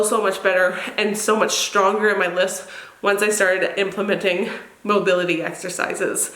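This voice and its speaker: A woman's voice: medium pitch, a bit bright. A woman fitness vlogger with a crackly, congested, nasal voice from getting over an illness, giving casual, chatty advice to her viewers and repeating words for emphasis. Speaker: fitness vlogger and channel host. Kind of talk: casual advice talk to camera. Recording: roomy echo on the voice.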